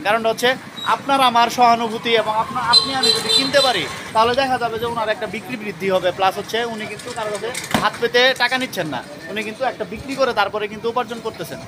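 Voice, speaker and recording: A man with a Bangladeshi accent doing an informal street interview and talking to viewers in a repetitive, urging way. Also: very high-pitched. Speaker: vlogger and interviewer. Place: outdoors on a street. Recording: noisy outdoor street with traffic.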